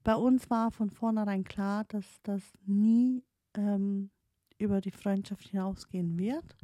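A woman's voice: mid-range voice, dark tone, dry recording. A woman in her late thirties with a Swiss accent, German not her first language, musing hesitantly about her past with many fillers and restarts. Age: 30s. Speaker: streamer. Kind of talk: reflective personal storytelling.

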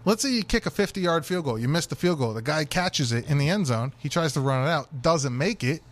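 A man's voice: on the high side, expressive, a little fast. A man co-hosting a sports radio show, chatting casually and explaining things step by step. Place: radio studio.

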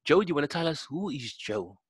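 A man hosting a podcast interview, asking questions in a measured, encouraging way. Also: fairly high pitch, sing-song, breathy edge, dry recording, clean studio sound. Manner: measured, encouraging, uses um fillers. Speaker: podcast host.

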